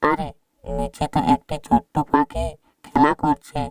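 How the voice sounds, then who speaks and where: A performer voicing a greedy, mischievous female ghost in a cartoon, musing slowly to herself. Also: medium pitch, completely flat delivery, recorded from a distance, a crowd in the background, natural voice. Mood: delighted. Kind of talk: scripted cartoon voice acting.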